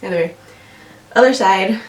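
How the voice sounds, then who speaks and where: A woman's voice: medium pitch, normal speed, a little hiss or hum. A young American woman with a congested, nasal voice, chatting casually and self-deprecatingly through a makeup tutorial as a beauty vlogger. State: congested from allergies. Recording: roomy echo, distant mic, faint music. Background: music.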